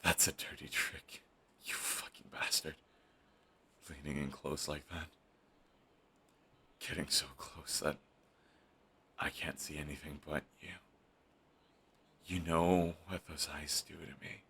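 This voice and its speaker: A man's voice: rumbling low, flat delivery, slow pace, gravelly, long pauses, slightly rough, heavily acted. A man voicing a brooding villain who is defensive and lovestruck, talking close and intimately to the listener. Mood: hushed, flustered. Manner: intimate, shifts between hushed and heated. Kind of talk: audio roleplay monologue.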